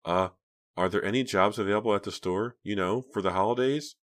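A man voicing a modest teenage girl with a cold, speaking hesitantly and quietly, in an audiobook reading. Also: on the low side, brisk, pitched above the performer's own voice, lightly acted. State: has a cold, sniffling.

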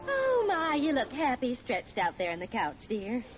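An actress playing an affectionate, sensible housewife and mother in 1940s radio comedy, gently reasoning and teasing. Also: sits high, wildly swooping pitch, normal speed, soft background music. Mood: fond, reasoning. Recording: muffled old radio, audible studio audience.

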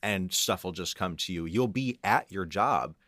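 A man speaking as a podcast guest in casual, reflective chat, explaining in a measured way and repeating phrases for emphasis. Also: low voice, lively intonation, fairly bright tone, no echo, studio quality. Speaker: guest composer and audio engineer.

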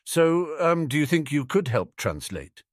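A man's voice: on the high side, big pitch swings, unhurried, no room sound, voice pushed up. A man plays an older politician in a fantasy storybook. He is genial to the point of fawning, effusive and easily flustered.

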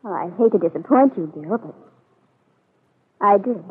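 A woman's voice: mid-range voice, expressive, normal speed, slight background noise, natural voice. An actress with an American accent playing a proud, hurt young woman, cool and formal, in an old radio soap opera on a muffled vintage recording. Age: young adult. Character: proud, hurt eighteen-year-old country girl, coolly aloof.

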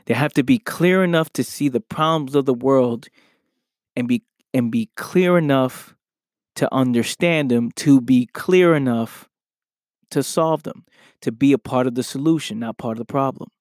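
Slightly hoarse man, motivational podcast host. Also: mid-range voice, normal speed, darkish tone, no room sound, clean studio sound. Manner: earnest, repeats "right?" often. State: slightly hoarse from losing his voice. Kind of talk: motivational solo podcast talk.

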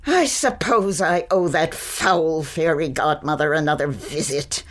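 A woman's voice: sits low, a little slow. A woman playing an eccentric older witch, a grandmother in a magical realm, with a theatrical, muttering, exasperated manner in a fantasy audio drama. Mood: muttering, absorbed. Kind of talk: audio fiction fantasy acting.

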